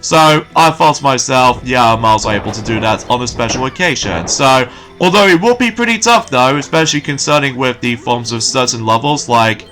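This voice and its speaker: Rambling man with a bright voice. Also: medium pitch, lively intonation, normal speed, faint music behind, poor audio. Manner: rambling, repeats filler phrases. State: slightly croaky from waking early. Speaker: let's play commentator. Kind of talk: casual gameplay commentary.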